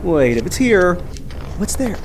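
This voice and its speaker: A man playing an excitable, argumentative skeptic in an audio drama, stammering and exasperated in an informal way. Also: high-pitched, unhurried, slight background noise, full character voice. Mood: appalled, panicking.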